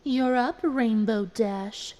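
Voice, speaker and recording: A woman's voice: a little high, slow, mellow. A woman voicing a smug, sadistic female unicorn villain in a dramatic audio drama, with a mocking, gleeful, taunting delivery. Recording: music behind the voice. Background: music.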